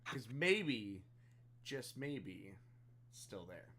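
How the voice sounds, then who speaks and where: Bright, forceful man improvising in a game. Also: on the low side, big pitch swings, drawn-out words, breathy edge. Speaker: tabletop game player. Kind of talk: casual in-character improv banter.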